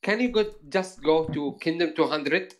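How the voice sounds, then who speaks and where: A man whose first language is Arabic, talking casually on a live stream and often stopping to find his words. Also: fairly high pitch. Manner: halting, repeats words while searching for phrasing. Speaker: guest player.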